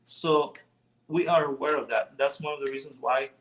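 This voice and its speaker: A man's voice: mid-range voice, moderate pace, slight background noise. Mexican-accented man, rambling casual chat. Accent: Mexican Spanish. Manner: relaxed and rambling, many fillers and restarts. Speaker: small business owner.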